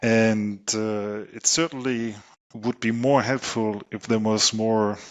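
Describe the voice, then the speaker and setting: A middle-aged man with a German accent, a guest on an interview podcast, answering with many pauses and fillers. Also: lowish voice, steady tone. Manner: hesitant, many ums and you knows. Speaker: guest, solar company technology chief.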